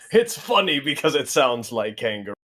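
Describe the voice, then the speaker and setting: A man playing a very tall, polite, earnest soldier in a tabletop roleplay, speaking in a measured, literal way. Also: medium pitch, sing-song, fairly bright tone. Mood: earnest, puzzled. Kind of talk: improvised in-character roleplay.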